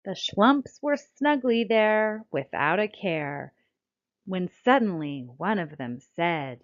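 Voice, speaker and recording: A middle-aged American woman reads a scripted text aloud as a children's author, in a deliberate, expressive storytelling manner. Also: on the low side. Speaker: children's book author reading her own book. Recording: dull, lo-fi sound.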